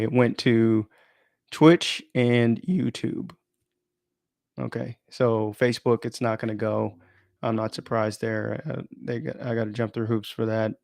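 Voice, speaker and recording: Creaky-voiced man rambling on a casual livestream. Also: medium pitch, no room sound, clean studio sound. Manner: rambling, informal, self-interrupting. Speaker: live streamer and podcaster. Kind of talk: casual unplanned live stream chat, thinking aloud.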